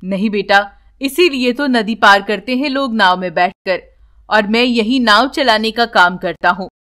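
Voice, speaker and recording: A woman voicing a kindly, lonely elderly village boatman in a children's story, with a gentle grandfatherly manner. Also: a little high, unhurried. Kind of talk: children's animated story dialogue.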